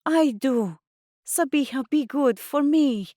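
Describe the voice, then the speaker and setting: Woman playing a reproachful, anxious mother, raised pitch. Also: very animated, unhurried, no room sound, studio quality. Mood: weary, pleading. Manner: scolding, urging. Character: reproachful, anxious, weary mother of a teenage girl. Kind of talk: dramatised audiobook dialogue.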